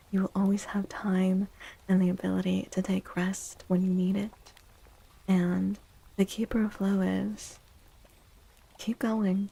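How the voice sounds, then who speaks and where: A woman speaks in a gentle ASMR hush that often drops to a whisper. Her voice is dark and soft, with a creaky, breathy grain. She sounds like a host reading cards for her viewers, unhurried and soothing. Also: mid-range voice.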